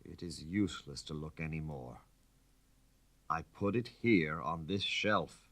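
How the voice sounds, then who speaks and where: A man's voice: very deep voice, measured pace, fairly bright tone, exaggerated voice. A man voicing an anxious aristocratic husband, a count who is insistent and easily flustered, in a storybook reading. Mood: discouraged, insistent. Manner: insistent, stammering when shaken.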